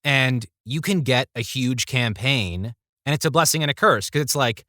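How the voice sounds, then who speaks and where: A man's voice: medium pitch, moderate pace, pushed and bright, no room sound, studio quality. A man in his thirties speaking as an interview guest in a casual, reflective, conversational way. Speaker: podcast guest, voice actor.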